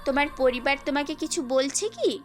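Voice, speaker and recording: A woman's voice: sits high, quick pace, lightly acted. A woman playing a bossy, teasing young bride-to-be in an audio story, with a demanding, playful manner. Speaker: voice actor in an amateur audio story. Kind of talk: acted audio story dialogue. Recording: background music throughout.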